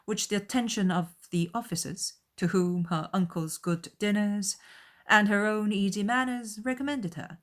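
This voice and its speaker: A woman's voice: medium pitch, fairly even intonation, moderate pace. A woman reading a scripted literary narration as an audiobook narrator.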